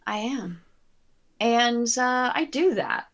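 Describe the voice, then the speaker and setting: A woman's voice: fairly high pitch, animated, takes it slowly, vocal fry. A woman playing a tabletop game, talking casually and playfully as she describes what her character does. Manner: playful, jokey. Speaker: player.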